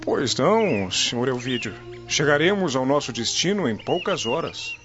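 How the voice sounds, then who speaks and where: A man's voice: medium pitch, very animated, moderate pace, lightly acted. An actor playing an obliging, respectful servant to a Roman nobleman in a radio play. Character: deferential male servant attending a Roman nobleman. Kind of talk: dramatised radio play acting. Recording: dull lo-fi audio under loud music.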